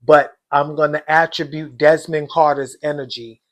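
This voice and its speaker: A man with a hoarse voice, hosting an astrology reading and talking casually and directly to his viewers. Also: fairly high pitch, steady tone. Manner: slow, deliberate, talks straight to viewers. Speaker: astrology channel host.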